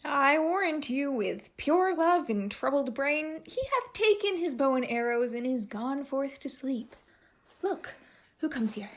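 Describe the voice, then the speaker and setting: A woman acting a gentle, wry young noblewoman and loyal companion in a Shakespearean radio play, with a dry, measured delivery. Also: high voice, lively intonation. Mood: dry amusement.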